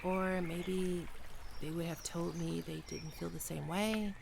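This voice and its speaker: A performer playing a gentle young best friend with a secret crush, speaking softly and hesitantly in an ASMR roleplay, comforting and tender. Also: medium pitch, fairly even intonation, slow, gravelly, noisy background. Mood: wistful. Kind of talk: soft-spoken ASMR roleplay.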